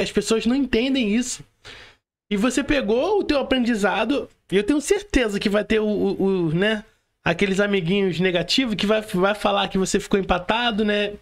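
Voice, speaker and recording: A male Brazilian podcast host with a distinctly high voice. He speaks casually and with emphasis, in an encouraging, slangy way, often tagging his points with little check-in questions.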